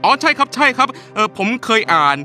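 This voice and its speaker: A man's voice: high-pitched, very animated, fast talker, exaggerated voice. A man playing a cheerful, smug cartoonist father in a cartoon, giggly and exclaiming. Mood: flustered. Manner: giggly, exclaiming, sing-song. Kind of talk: cartoon voice acting. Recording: loud music behind the voice.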